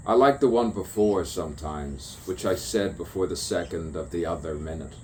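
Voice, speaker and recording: A man's voice: low-pitched, normal speed, a little mellow, slight background noise. A man delivering a stream-of-consciousness spoken poem, musing and repeating rhyming phrases. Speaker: poet.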